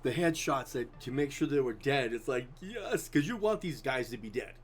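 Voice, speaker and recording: A man's voice: mid-range voice, animated, moderate pace, faint music behind. A middle-aged man chatting casually as a guest, rambling and easygoing, with his voice drying out. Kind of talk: casual chat.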